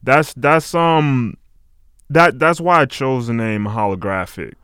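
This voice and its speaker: A man in his late twenties chatting casually as an interview guest, halting and meandering as he reflects. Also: medium pitch, a bit bright, clear, resonant tone, no room sound, clean studio sound. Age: late 20s. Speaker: interview guest, music artist. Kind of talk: casual chat.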